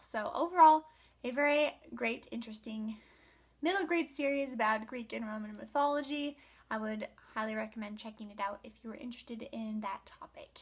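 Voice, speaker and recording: A woman with a somewhat high-pitched voice talks casually to her viewers as a book reviewer. Her delivery is conversational and unscripted. She phrases her opinions informally, often softening them as she goes. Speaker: book vlogger.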